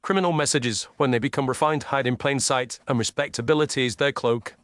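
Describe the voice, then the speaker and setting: A man puts on an incisive gentleman detective from a storybook mystery. He speaks formally and with cool command, clipping his phrases. The voice is mid-pitched and recorded dry. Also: fairly even intonation, fairly quick, dry recording, lightly acted.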